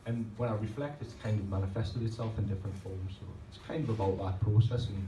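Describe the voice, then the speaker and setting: A man's voice: lowish voice, fairly even intonation, fast talker, very noisy. A man, reading rhymed spoken-word poems and talking loosely between them. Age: 30s. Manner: rhythmic, rhymed delivery between rambling, self-deprecating asides. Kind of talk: poetry reading with chatty asides. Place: pub function room at a poetry night. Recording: roomy, distant live recording, faint ambience.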